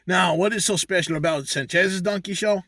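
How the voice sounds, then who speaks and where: A man's voice: high voice, normal speed, a bit bright, no echo, studio quality, pitched above the performer's own voice. A man doing a boastful hustler, Sanchez. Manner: crude, rambling, refers to himself in third person. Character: boastful male Mexican donkey handler Sanchez. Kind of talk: comedic character monologue.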